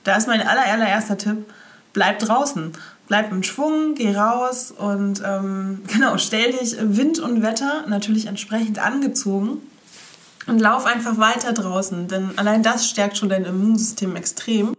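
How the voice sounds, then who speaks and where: A woman's voice: medium pitch, unhurried, a bit bright. A young woman hosting a casual solo podcast, stuffy-sounding from a cold, cheerful and chatty as she talks straight to the listener. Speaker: podcast host.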